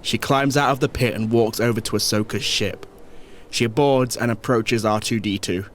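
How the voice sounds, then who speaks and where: A man's voice: medium pitch, steady tone, normal speed, slight background noise. A man reading scripted narration for an audio drama.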